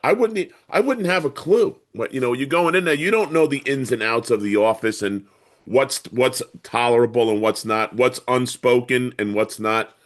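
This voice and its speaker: A man's voice: medium pitch, normal speed. A man interviewing a guest on a podcast in a casual, conversational style.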